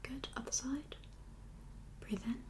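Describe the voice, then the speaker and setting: A woman whispering an ASMR medical roleplay as a kindly doctor, gentle and reassuring in an unhurried, professional way. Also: sits high, steady tone, slight background noise. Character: kindly doctor for undead patients. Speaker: ASMR performer playing a doctor.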